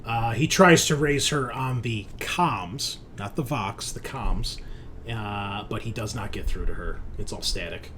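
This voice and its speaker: A man's voice: lowish voice, measured pace, fairly bright tone, slight background noise. A man running a tabletop roleplaying game as game master, talking in a casual, conversational way.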